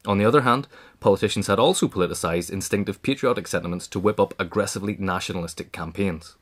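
A man's voice: low voice, quick pace. A man reading a scripted history essay aloud in a formal manner. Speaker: audiobook reader.

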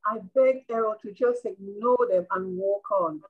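A woman's voice: mid-range voice, unhurried, close to an everyday voice. An older woman with a Caribbean accent reading the part of a reflective, homesick migrant nurse in a play, over a video call. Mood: troubled. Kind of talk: rehearsed play reading, monologue. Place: indoor room at home, video call. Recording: muffled video-call audio.

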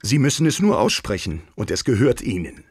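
A man's voice: mid-range voice, big pitch swings, fairly quick, vocal fry, a little mellow, slightly rough, no room sound, exaggerated voice. An actor playing a proud, effusive king in a German audio drama, speaking in a grand, courtly way. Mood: obliging.

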